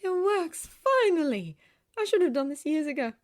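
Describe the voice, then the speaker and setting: A woman's voice: on the high side, sing-song, moderate pace, a little mellow, pitched above the performer's own voice. A woman voicing a caring elder sister in a story, explaining and cautioning in a reassuring way. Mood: relieved.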